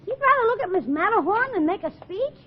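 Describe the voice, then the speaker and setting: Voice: extremely high pitch, very animated, fairly quick. An actor playing a brash, wisecracking young nephew in old-time radio comedy. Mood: teasing. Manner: cheeky, brash. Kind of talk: 1940s radio comedy acting. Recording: muffled, hissy old radio recording.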